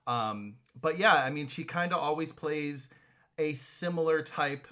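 A man hosting a podcast in a casual interview. His voice is bright and a little high for a man, and he asks long, eager, rambling questions and heaps praise on his guest. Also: a little slow. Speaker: podcast host. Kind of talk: casual chat.

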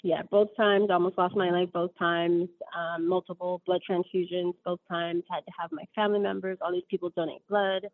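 A woman's voice: on the low side, little pitch movement, moderate pace, few pauses, no room sound, narrow, tinny audio. A woman in her thirties telling her story as an interview guest, rambling with many ums and self-corrections.

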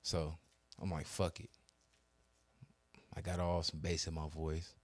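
A man's voice: a bass voice, level tone, a little slow, dry recording. A young man telling a long, profane story in casual podcast chat, acting out both sides of the arguments. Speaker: podcast co-host.

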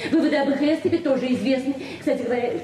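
A woman's voice: high voice, racing, warm tone, natural voice. An actress playing a frank, proud, hard-pressed librarian in her forties in a Soviet radio drama, with curt retorts and earnest outpourings. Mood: indignant. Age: 40s. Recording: noisy, distant, echoey old radio recording.

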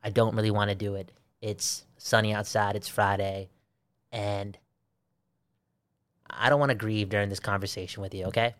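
A man co-hosting a casual sports chat, animated and swearing freely, repeating himself for emphasis. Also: fairly low pitch, moderate pace, long pauses, no room sound. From Belgium. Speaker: sports podcast co-host.

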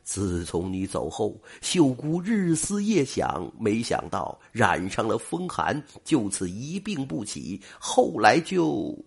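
A man voicing a stern old male hunter father, gruff, low and grave, with a husky voice. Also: huge pitch swings, takes it slowly, few pauses, heavily acted. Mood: sorrowful.

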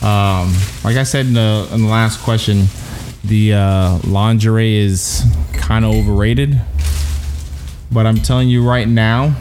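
A man's voice: lowish voice, fairly even intonation, measured pace, a little mellow, a little hiss or hum. A man co-hosting a casual chat, talking emphatically, often repeating and restarting his words and breaking into laughs.

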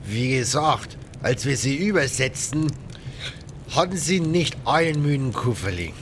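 A man voicing a rough, chatty pirate in a game audio drama, rambling in coarse colloquial speech with grudges. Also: lively intonation, measured pace, natural voice. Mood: gruff, aggrieved. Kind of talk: acted game dialogue, colloquial storytelling. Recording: faint rumbling background noise.

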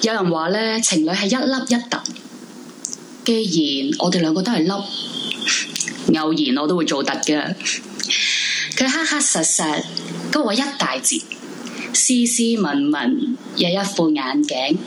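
An actress playing an introverted, brooding young woman in a radio drama, confiding in Hong Kong Cantonese with dramatic pauses. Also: deep voice, expressive, noisy background. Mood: confiding, fond. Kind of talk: radio drama monologue in first person. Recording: music bed under the voice. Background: dramatic background music.

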